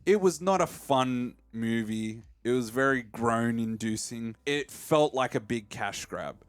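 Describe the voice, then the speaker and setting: A man's voice: medium pitch, unhurried, no room sound. A man hosting a podcast, discussing films in a casual, opinionated way. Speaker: podcast host.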